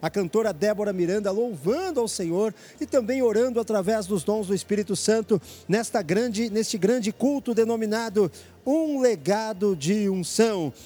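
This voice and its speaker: High, mellow-voiced fervent radio host. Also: male voice, animated, moderate pace. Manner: fervent, urgent preaching delivery. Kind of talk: evangelical radio broadcast. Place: church headquarters.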